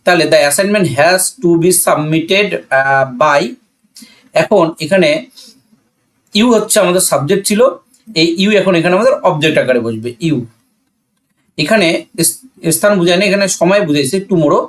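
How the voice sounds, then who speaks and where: A man's voice: sits high, a little slow, pauses often. A man with a Bangladeshi accent teaching a grammar lesson, asking and answering his own questions and repeating key points. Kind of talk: classroom-style grammar lesson explanation.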